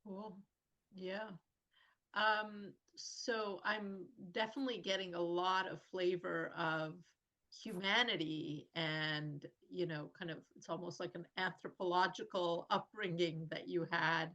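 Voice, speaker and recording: A woman's voice: on the low side, steady tone, a little slow, pushed and bright, dull audio. A woman hosting a podcast interview, asking musing questions and offering short encouraging affirmations. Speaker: podcast host. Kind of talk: conversational interview.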